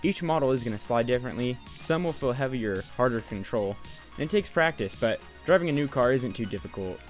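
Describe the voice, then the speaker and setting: Young man for voice-over game review: sarcastic, profane, mid-pitched, soft music bed. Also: animated, brisk. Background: faint music.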